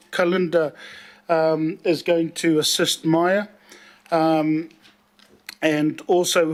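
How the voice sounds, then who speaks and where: Male committee chair, New Zealand accent, slightly high pitch, halting and filler-heavy, uses Māori phrases. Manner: hesitant, many filler pauses; opens with a Māori prayer. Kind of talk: chairing a council meeting.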